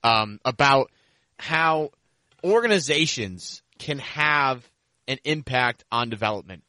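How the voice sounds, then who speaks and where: A man's voice: medium pitch, bright, forceful tone, no room sound. A man hosting a casual basketball podcast, repeating phrases for emphasis as he talks. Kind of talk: casual sports talk.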